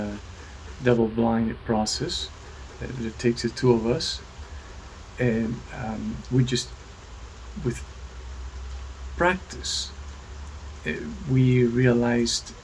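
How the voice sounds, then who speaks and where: A man explaining his work as an interview guest, thoughtfully and haltingly, a few words at a time. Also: medium pitch, darkish tone, a little hiss or hum, rough recording. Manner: halting, thoughtful, word-by-word phrasing. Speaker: guest, alternative wellness practitioner.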